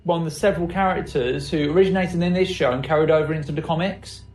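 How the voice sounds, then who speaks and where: Podcast co-host, male, high register, rambling delivery; distant, echoey mic. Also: steady tone, a little mellow. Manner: rambling, halting, filler-heavy. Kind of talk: casual podcast chat. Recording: roomy, distant mic, music bed.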